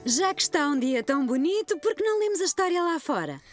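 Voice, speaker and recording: High-voiced kind preschool teacher. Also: female voice, big pitch swings, quick pace, loud music behind the voice. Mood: bright encouraging. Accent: European Portuguese. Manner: explains patiently to children. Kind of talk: children's cartoon dubbing.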